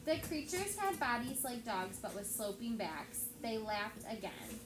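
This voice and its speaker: A woman with a fairly high voice reads aloud like a teacher telling a story to children. Her delivery is expressive. The room echoes noticeably, with clear noise behind her. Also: unhurried. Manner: expressive, acts out dialogue.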